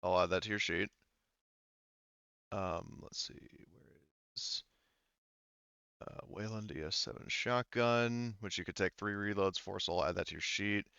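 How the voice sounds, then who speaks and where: A man running a tabletop game as game master, talking casually and informally in a halting way. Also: on the low side, gravelly, bright, forceful tone, clean tone, no echo, highs cut off.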